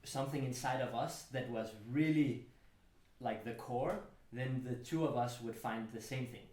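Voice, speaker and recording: A man's voice: medium pitch, moderate pace, darkish tone, echoey room. A man hosting a casual, thoughtful book club conversation in a home studio, talking reflectively with many fillers. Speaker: host.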